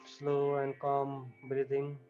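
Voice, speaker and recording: A man leading a guided yoga relaxation with chanting, giving calm, repetitive instructions and often saying "you know". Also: medium pitch, takes it slowly, highs cut off, faint music behind.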